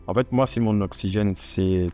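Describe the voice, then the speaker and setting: A man with a slightly low voice talks over music. He is an interview guest, reflecting informally in casual conversation. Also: steady tone, normal speed, mellow, clear, resonant tone. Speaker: podcast guest, comedian. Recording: music behind.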